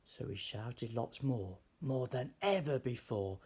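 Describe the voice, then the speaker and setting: A man reading a rhyming children's story aloud in an expressive, theatrical, measured way. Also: medium pitch, slightly rough. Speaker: storybook reader.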